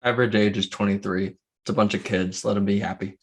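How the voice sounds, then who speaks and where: Male, lowish pitch, podcast co-host, informal chat. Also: fairly even intonation, fairly quick, husky. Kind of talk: casual chat.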